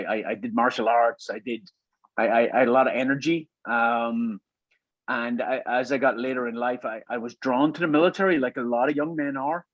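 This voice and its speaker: A man in his fifties talks with an Irish lilt softened by years in America. He tells his story in a halting way, often repeating a word before going on. The sound is dry and a bit dull. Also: mid-range voice, no echo. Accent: Irish with some American. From Ireland. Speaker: guest. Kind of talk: relaxed storytelling interview.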